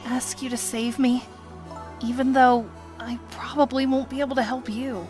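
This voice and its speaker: Woman voicing a high-pitched, spirited young female detective. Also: level tone, normal speed, a little mellow, faint music behind, natural voice. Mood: apologetic, pleading. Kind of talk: dramatic fan dub of a video game.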